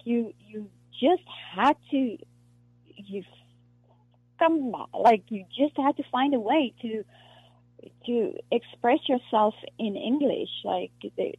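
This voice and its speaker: A woman from South Africa, an actress being interviewed, talking in a halting way with many restarts and fillers. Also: fairly high pitch, slightly rough, no room sound. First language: Afrikaans. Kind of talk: casual interview conversation. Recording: muffled lo-fi dry recording.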